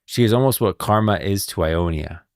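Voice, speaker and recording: Man, low voice, dry close sound, casual livestream commentary, informal, insistent, thinking aloud. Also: normal speed, dry recording. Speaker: streamer, game lore commentator.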